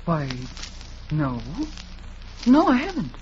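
An actress playing an anxious, insistent widow in dramatic 1940s radio style. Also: female voice, lowish voice, huge pitch swings, takes it slowly, darkish tone, heavily acted. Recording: muffled, hissy 1940s radio recording.

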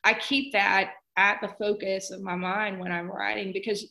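A woman's voice: mid-range voice, little pitch movement, normal speed, gravelly, bright, forceful tone. A woman author talking as a guest in a conversational interview.

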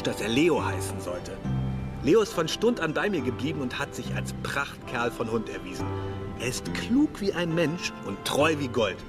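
An actor playing a fond, sentimental gentleman who loves his dog, reading memoirs in a radio drama. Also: mid-range voice, lightly acted.

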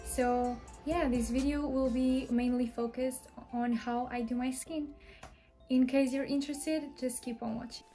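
A woman's voice: high voice, steady tone, unhurried, dark tone, faint music behind. Young woman with a Portuguese accent. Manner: friendly, chatty, explaining step by step. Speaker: beauty vlogger. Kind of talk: makeup tutorial talk to camera. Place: small bedroom indoors.

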